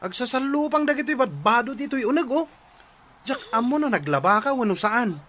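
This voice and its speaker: A man's voice: very high voice, expressive, brisk, fairly bright tone, heavily acted. A man playing a grumbling, fault-finding village husband in a comedy radio drama, nagging and complaining. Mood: irritated.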